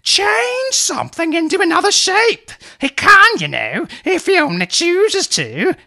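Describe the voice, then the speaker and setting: A man voicing an excitable elderly aunt in a high, shrieking falsetto. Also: a little slow, gravelly, full character voice. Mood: pleading.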